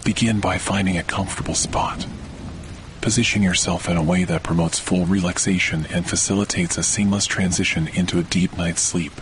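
Male, deep voice, measured and soothing guided-meditation read, soft rain and thunder underneath. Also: steady tone, a little hiss or hum. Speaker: podcast host, meditation guide. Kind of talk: scripted guided sleep meditation. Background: rain and distant thunder.

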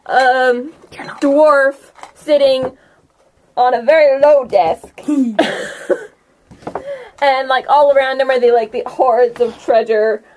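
A woman running a tabletop game as game master, improvising narration in a casual, halting, giggly way. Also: high voice, steady tone, darkish tone, a little hiss or hum.

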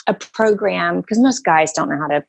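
A woman in her fifties, a podcast guest, explaining her habits in relaxed casual chat with many fillers. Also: fairly low pitch, moderate pace, creaky. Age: 50s.

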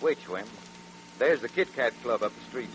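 An actor playing a dogged, commanding police chief in a terse 1940s radio crime drama style. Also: male voice, medium pitch, expressive, moderate pace, background noise, natural voice. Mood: grim, watchful. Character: dogged New Orleans police chief, tough, commanding lawman. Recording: vintage 1940s radio, music behind.

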